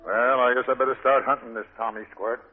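An actor playing a blunt, wary New police officer with a husky voice in a 1940s radio drama. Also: male voice, medium pitch, fairly even intonation, a little fast, faint music behind, lightly acted. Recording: echoing, muffled old radio broadcast.

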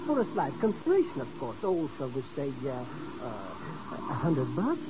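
A male actor playing a nervous, money-minded informant sidekick in a 1950s radio drama, stammering and excitable. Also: mid-range voice, moderate pace. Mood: wheedling, excitable. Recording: noisy, dull old radio recording.

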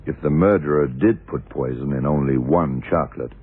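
A very deep-voiced, dry male detective fires clipped, probing questions. The voice is husky and unflappable, in the manner of a seventies radio thriller. It comes through thin and tinny, like an old broadcast. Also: normal speed. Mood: puzzled, methodical. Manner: clipped, matter-of-fact questioning. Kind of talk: 1970s radio crime drama acting. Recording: tinny, band-limited old radio recording.

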